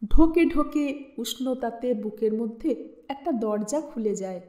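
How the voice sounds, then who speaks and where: A woman narrating literary fiction in an expressive, deliberate, dramatic audiobook style. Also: a little high. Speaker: storyteller reading literary fiction. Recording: roomy, echoing voice recording.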